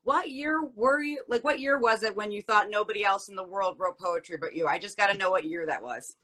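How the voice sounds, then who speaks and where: Bright-voiced woman asking probing questions. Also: medium pitch, a little fast. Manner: inquisitive, rephrasing and probing. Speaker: co-host interviewer. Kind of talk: casual interview conversation.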